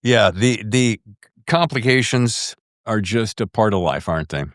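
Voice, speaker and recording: A man's voice: fairly low pitch, animated, a little slow, no room sound. A middle-aged man hosting a podcast in casual, enthusiastic and effusive interview chat, speaking close to the microphone. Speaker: podcast host.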